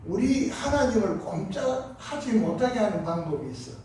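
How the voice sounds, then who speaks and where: A pastor with a Gyeongsang accent delivering a Sunday sermon, impassioned, moving between hushed and loud passages. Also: male voice, sits high, expressive, moderate pace, slight background noise. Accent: Gyeongsang Korean. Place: large church sanctuary. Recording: echoey sanctuary from far off.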